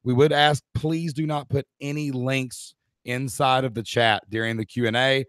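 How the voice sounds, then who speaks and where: A middle-aged man, hoarse-voiced, co-hosting a live online Q&A and talking straight to the chat audience in an upbeat way. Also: medium pitch, fairly even intonation, normal speed, a bit bright, dry recording, studio quality.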